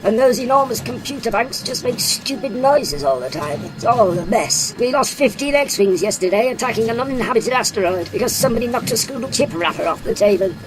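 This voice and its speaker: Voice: mid-range voice, expressive, fairly quick, hardly any pauses, over music, lightly acted. An actor playing a pompous, exasperated military brigadier in a comic sci-fi audio drama, with a blustering, sardonic delivery. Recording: noisy home-made drama, ambience behind.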